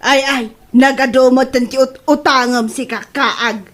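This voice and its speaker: Woman acting a high-voiced scolding Ilocano shopkeeper. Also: fairly even intonation, measured pace. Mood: incredulous, scolding. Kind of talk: Ilocano comedy audio drama. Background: faint music.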